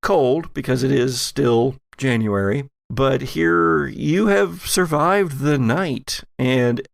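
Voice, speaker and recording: A man runs a tabletop horror game as narrator. His delivery is unhurried and descriptive, with a gravelly edge to the voice. The sound is dry and close. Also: medium pitch, animated, no echo. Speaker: game master.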